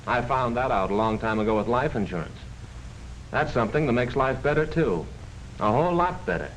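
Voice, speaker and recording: A man's voice: medium pitch, animated, fairly quick, lightly acted. An actor in a 1940s film playing an earnest small-town male insurance salesman, folksy, patient and persuasive. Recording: noisy 1940s film soundtrack.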